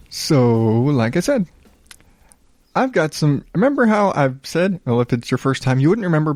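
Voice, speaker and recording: A man hosting a solo podcast in a smooth voice, chatting casually in a low-key, rambling, self-deprecating way with some swearing. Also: a little mellow, dry recording. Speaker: podcast host.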